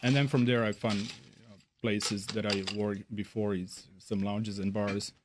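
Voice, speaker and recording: A man from Serbia, a beverage director, answering interview questions haltingly with many fillers. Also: fairly low pitch, flat delivery, noisy background. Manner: halting, many fillers, non-native phrasing.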